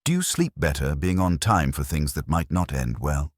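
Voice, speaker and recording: A man's voice: rumbling low, animated, moderate pace, no room sound, studio quality. A man reading the part of a wry, watchful detective's assistant in an audiobook, with clipped hardboiled dialogue. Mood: wry, probing.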